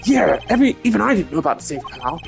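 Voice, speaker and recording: A fan voice actor playing a gruff, loyal male police detective with a blunt, buddy-buddy way of talking over game music. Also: mid-range voice, sing-song, fast. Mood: insistent. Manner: blunt, says "pal" a lot. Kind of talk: fan voicing of a video game.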